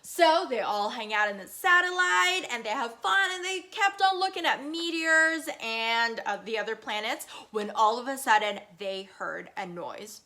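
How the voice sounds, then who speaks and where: A woman's voice: on the high side, expressive, normal speed, few pauses, sharp tone. A woman storyteller narrating for young children, with simple, repetitive phrasing that speaks straight to them.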